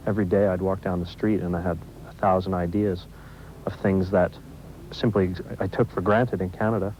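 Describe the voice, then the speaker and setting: A man's voice: sits low, little pitch movement, moderate pace, muffled recording, slight background noise. A confident young man in his twenties, an entrepreneur, answering an interview. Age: 20s.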